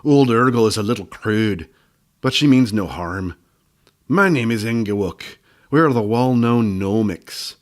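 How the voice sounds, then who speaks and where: A boastful little gnome scholar, as a male reader plays him, pompous and self-important. The voice stays fairly low and full for an old storybook gnome. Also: expressive, a little slow.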